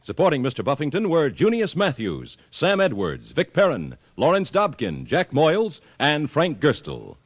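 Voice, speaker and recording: A man's voice: a little high, huge pitch swings, normal speed, hardly any pauses, slight background noise. A man working as a radio announcer, reading credits in a formal, declamatory 1950s network style. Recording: old radio recording, music bed.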